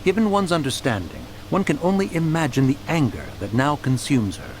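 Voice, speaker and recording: Lofty, expressive ancient immortal. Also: male voice, on the high side, a little fast, a little hiss or hum. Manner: archaic, formal, lofty, expressive. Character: proud, touchy, refined ancient male adeptus in human form. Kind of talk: English video game dub acting. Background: background music.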